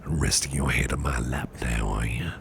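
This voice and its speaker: A caring businessman boss, acted by a man for an ASMR roleplay, speaks in an extremely deep, heavily creaky murmur that often slips into whisper. He is gentle, protective and reassuring, taking his words slowly. Clear background noise sits behind the voice. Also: steady tone. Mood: soothing, tender. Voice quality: breathy near-whisper with gravelly fry. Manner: tender, slow, reassuring murmur. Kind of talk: ASMR roleplay, soothing personal attention.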